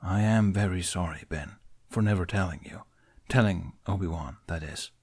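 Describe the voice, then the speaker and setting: A man's voice: low-pitched, darkish tone, slightly rough. A man reading as a remorseful older Jedi master. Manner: measured, apologetic. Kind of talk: fan audiobook reading.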